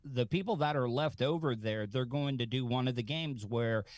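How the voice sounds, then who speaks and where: A man telling casual comic anecdotes as a talk show guest, in a halting, rambling way. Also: mid-range voice, no echo.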